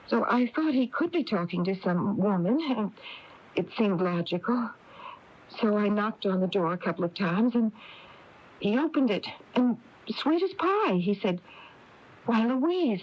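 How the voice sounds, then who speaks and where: An actress playing an uneasy small-town woman recounting a strange visit in a 1980s radio drama. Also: on the high side. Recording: dull lo-fi taped radio broadcast.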